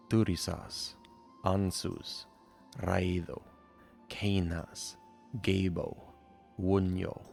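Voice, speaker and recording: Low gravelly guy reading an explainer script, slow and even, music faint behind. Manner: slow, measured and deliberate reading. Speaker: video narrator. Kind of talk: scripted educational narration. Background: faint background music.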